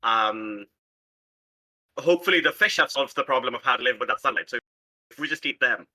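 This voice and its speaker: Bright, forceful-voiced man chatting. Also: medium pitch, lively intonation, brisk, rough recording. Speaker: tabletop roleplay player. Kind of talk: casual group worldbuilding chat.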